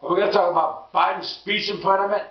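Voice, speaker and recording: A hoarse man who stammers and repeats words, giving an emphatic casual political monologue. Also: fairly even intonation, fast talker. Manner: emphatic, rising pitch when indignant. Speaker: political commentary vlogger. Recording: roomy distant lo-fi sound.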